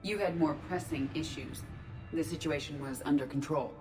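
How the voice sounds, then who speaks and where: A woman voicing a calm, logical ship artificial intelligence in a video game, precise, formal and deadpan. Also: deep voice, normal speed, over music, natural voice. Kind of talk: video game cutscene acting.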